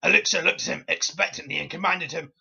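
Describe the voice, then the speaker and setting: A narrator with a creaky, raspy voice giving a comic, theatrical dramatic reading of fan fiction. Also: medium pitch, fast, bright, forceful tone, dull audio.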